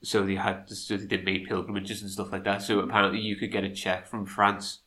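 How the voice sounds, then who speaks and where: Bunged-up man, low and bright. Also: little pitch movement, fairly quick. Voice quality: congested, nasal from a cold. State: has a cold. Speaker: podcast co-host. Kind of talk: scripted history narration with chatty asides.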